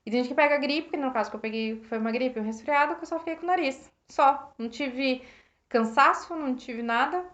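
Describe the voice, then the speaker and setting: A Brazilian woman with a slightly hoarse voice, reflecting casually on a book for her live viewers, with many restarts, thanking people by name. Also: sits high, brisk, a bit bright. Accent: Brazilian Portuguese. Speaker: host reading and reflecting on a self-help book. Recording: roomy, dull lo-fi live stream.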